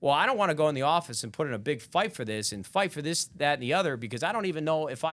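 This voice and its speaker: A man's voice: a little high, animated, very fast, fairly bright tone, no echo. A man in his thirties talking candidly on a podcast, reflective and rambling. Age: 30s. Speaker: podcast guest. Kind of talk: casual podcast chat.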